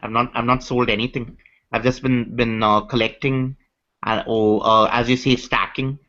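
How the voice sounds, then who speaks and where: A man from India with an Indian accent, chatting casually on a video call and talking in halting bursts with many restarts. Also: mid-range voice, little pitch movement, bright, forceful tone. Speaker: hangout participant, coin collector. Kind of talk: casual group chat. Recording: dull lo-fi online call audio.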